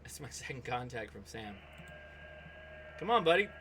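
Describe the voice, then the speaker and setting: A man hosting a hobby livestream, chatting casually and reacting aloud in an excitable way, often addressing people by name. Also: mid-range voice, moderate pace, background noise. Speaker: host of a ham radio livestream. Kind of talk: casual livestream chat and running commentary while operating radio.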